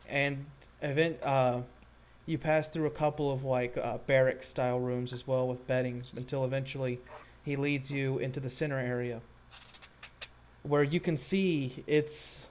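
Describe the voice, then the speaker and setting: A man running a tabletop roleplaying game, describing scenes informally and a little haltingly. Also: medium pitch, a little mellow, a little hiss or hum. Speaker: game master. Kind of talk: improvised tabletop game narration.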